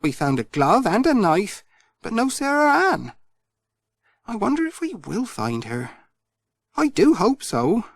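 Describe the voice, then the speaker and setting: Friendly, earnest village postman, played by a male reader, very animated and lifted in pitch, children's storybook. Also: unhurried, gravelly, long pauses, dry recording, heavily acted. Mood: hopeful, eager. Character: Pat, cheerful kindly male village postman.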